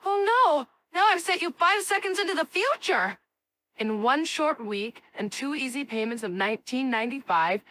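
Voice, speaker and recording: Cocky cartoon kid, kinda slow dramatic delivery, bright punchy voice, super excitable. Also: female voice, fairly high pitch. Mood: excited. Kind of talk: animated series voice acting.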